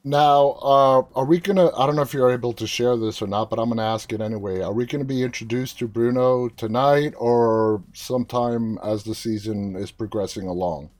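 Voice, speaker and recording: A man's voice: mid-range voice, a little fast, hardly any pauses, a little mellow. A man hosting a live interview, asking questions in a casual, conversational style. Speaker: interview host.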